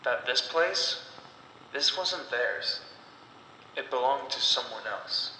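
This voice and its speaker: A man voicing a bitter, defiant condemned woman in a horror story, speaking gravely with deliberate pauses. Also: medium pitch, animated, bright, forceful tone, exaggerated voice. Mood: grim, defiant. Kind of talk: slow dramatic horror storytelling. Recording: echoey, long reverb, background noise.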